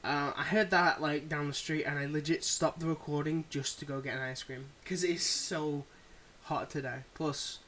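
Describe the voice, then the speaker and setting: A man's voice: fairly high pitch, fairly even intonation, moderate pace, hardly any pauses, bright, forceful tone, slight background noise. A man chatting casually to his listeners as an audio creator, in a rambling, informal, sweary way. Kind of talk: casual chat outro.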